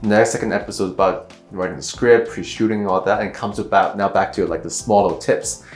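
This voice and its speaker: A man from Hong Kong hosting an interview, asking questions haltingly with restarts. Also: fairly low pitch, fairly even intonation. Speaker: host. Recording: echoey room with faint music.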